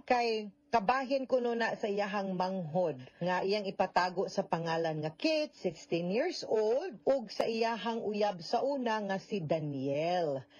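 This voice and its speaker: A woman's voice: a little high, muffled recording. A woman with a Cebuano Filipino accent hosts a legal advice show, talking through listener letters conversationally and frankly, often adding tag questions. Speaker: radio legal advice host.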